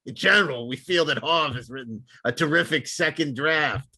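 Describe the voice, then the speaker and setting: A man's voice: fairly high pitch, moderate pace, vocal fry, pushed and bright, husky, no echo. A man hosting a film discussion podcast, talking through and reading out notes. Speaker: podcast host.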